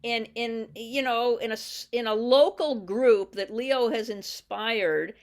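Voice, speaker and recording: A woman hosting a casual Q and A, asking quick encouraging questions on a video call. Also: a little high. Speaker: host.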